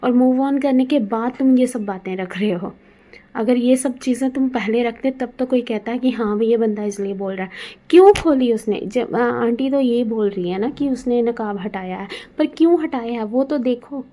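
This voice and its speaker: High, mellow-voiced woman commentator. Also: moderate pace. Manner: conversational, opinionated. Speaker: video commentator. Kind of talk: casual opinion commentary.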